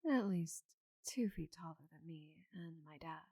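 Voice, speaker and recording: Woman acting as giant orc lady knight, mellow low voice, unhurried, confident, teasing, intimate ASMR roleplay. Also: vocal fry, husky, no echo, studio quality, full character voice. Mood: wry, proud.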